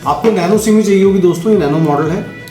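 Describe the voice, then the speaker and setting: A man's voice: high-pitched, fast talker, darkish tone. A man giving a casual, instructional product demo, speaking directly to viewers and checking in often as he explains each step. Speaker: product demonstrator. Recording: roomy echo, faint music.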